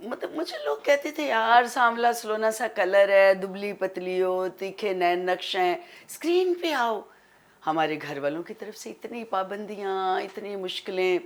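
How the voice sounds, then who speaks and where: A woman's voice: mid-range voice, expressive, measured pace. A middle-aged woman with a Pakistani accent, chatting as a talk show guest in an emphatic way, often repeating her phrases. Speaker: guest, veteran dubbing artist. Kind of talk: casual talk show conversation.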